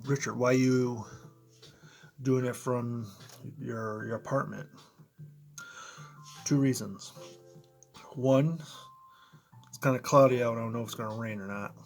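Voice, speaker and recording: A man with a slightly hoarse, froggy voice talks casually into a close camera in his apartment. His pitch sits in the middle range, and he keeps checking in with the viewer. Faint music plays underneath. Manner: conversational, talks straight to viewers, frequent "you know" and "right?". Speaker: motivational vlogger. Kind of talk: casual explainer vlog. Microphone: close to camera, in front of computer.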